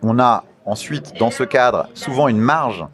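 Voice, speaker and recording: A man's voice: medium pitch, a little slow, a bit bright. A man with a French accent answering interview questions as an official, formal and explanatory, feeling his way through phrases with repeated words. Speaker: media regulator official being interviewed. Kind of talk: radio interview, explanatory institutional talk.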